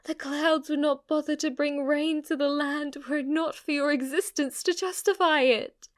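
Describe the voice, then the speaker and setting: A woman's voice: sits high, fairly even intonation, moderate pace, close to an everyday voice. A woman playing a devoted, submissive demon maid in an ASMR roleplay, with a formal, deferential and adoring manner. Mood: rapturous worship. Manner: formal, deferential, breathless adoration, sometimes whispering. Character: devoted, submissive, obsessively worshipful female demon maid servant.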